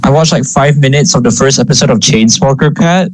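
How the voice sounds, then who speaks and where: A man's voice: medium pitch, brisk. A young man co-hosting a casual talk show. He rambles, hesitates and fills gaps with filler words. Faint background hiss sits under his voice. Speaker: podcast co-host. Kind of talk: casual chat.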